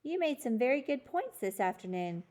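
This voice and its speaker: A woman reading the part of a friendly, reasonable male principal in a children's storybook, in a measured, conciliatory manner. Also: fairly high pitch, very animated, a little mellow, pitched above the performer's own voice. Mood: kindly, approving.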